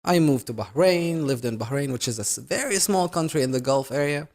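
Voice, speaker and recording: Fast-talking young man, Arabic speaker. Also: fairly high pitch, animated, a little mellow. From Middle East. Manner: quick, chatty, many restarts and fillers. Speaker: YouTuber host. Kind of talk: casual chat.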